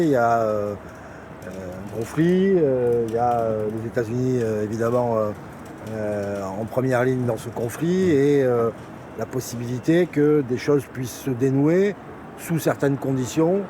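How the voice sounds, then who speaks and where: A man's voice: medium pitch, a little slow, background noise. A man who is a French member of parliament, answering cautiously and hesitantly, with many fillers. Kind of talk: conversation.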